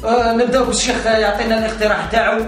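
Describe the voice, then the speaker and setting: A man with an Algerian accent playing the brisk chairman of a comic mock meeting, calling on speakers and repeating his approval. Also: sits high, little pitch movement, natural voice. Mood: businesslike. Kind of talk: comedy sketch dialogue. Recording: roomy echo with music behind.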